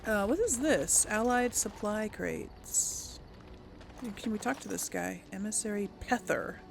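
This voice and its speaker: A woman's voice: mid-range voice, unhurried, gravelly, background noise. A woman doing casual let's-play commentary, musing aloud and replying in role to game characters. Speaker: gaming host role-playing through a game.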